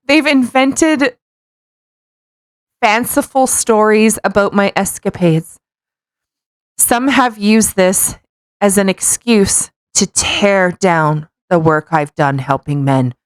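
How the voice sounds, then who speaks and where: A woman with a medium-pitched voice chats casually as a podcast co-host in a very dry-sounding space. She is blunt and sarcastic, with a teasing, mocking delivery. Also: takes it slowly, long pauses, clean tone, no echo. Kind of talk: casual chat, mocking dramatic reading and commentary.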